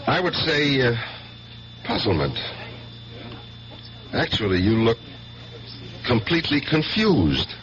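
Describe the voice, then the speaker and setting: An actor playing a wry, probing police detective in a 1970s radio drama, conversational in manner. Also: male voice, medium pitch, huge pitch swings, slow, pauses often, a bit bright, heavily acted. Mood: curious, teasing. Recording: muffled 1970s radio broadcast, faint hum.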